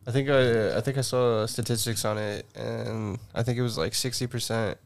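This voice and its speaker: A man's voice: medium pitch, steady tone, moderate pace, creaky. A man from Guatemala talking in a casual group chat, laying out his views with thought and some hedging. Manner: thoughtful, makes points with hedging.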